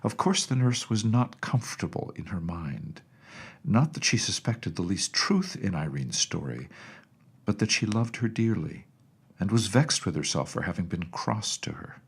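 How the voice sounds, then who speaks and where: Deep, gravelly man narrating a storybook. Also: big pitch swings, normal speed. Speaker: audiobook narrator. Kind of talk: expressive scripted storybook narration.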